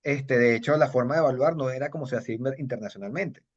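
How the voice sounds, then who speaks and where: Fast-talking Venezuelan man, lo-fi sound. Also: mid-range voice. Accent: Venezuelan Spanish. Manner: rambling, many fillers and restarts. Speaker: kung fu master and judge, interviewee. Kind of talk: casual interview storytelling. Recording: dull lo-fi audio.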